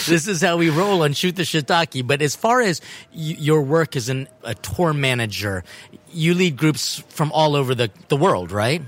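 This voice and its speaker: A man hosting a podcast outside a cafe, playful and joking, pausing to explain terms to his listeners. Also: on the high side, animated, a bit bright. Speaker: podcast host. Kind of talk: casual interview hosting. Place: outside a cafe with street traffic.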